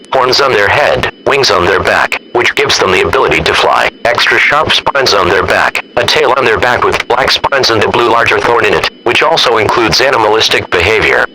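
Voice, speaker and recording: Synthetic male emergency announcer, bright and forceful. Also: medium pitch. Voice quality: synthetic text-to-speech voice. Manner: formal, impersonal. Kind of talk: scripted emergency broadcast announcement. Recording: noisy radio-style emergency broadcast.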